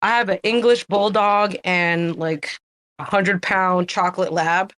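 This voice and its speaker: A woman's voice: mid-range voice, steady tone, measured pace, a bit bright. A woman who is a regular on the show, chatting casually in an informal, teasing manner. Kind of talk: casual chat.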